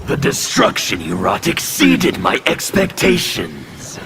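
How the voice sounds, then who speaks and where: An actor playing a menacing undead revolutionary warrior in a video game, with a gravelly, distorted rasp and intimidating, declamatory delivery. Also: male voice, lowish voice, wildly swooping pitch, slow pace, fairly bright tone, slight background noise, heavily acted. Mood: cold threat. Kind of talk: video game villain dialogue.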